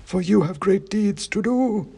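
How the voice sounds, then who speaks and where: A man voicing an ancient king's severed head that speaks in slow, solemn rhymes with a dusty, faint voice. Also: high voice, gravelly, mellow, slightly rough, pitched above the performer's own voice, heavily acted. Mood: ominous. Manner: slow, drawn-out rhyming pronouncements.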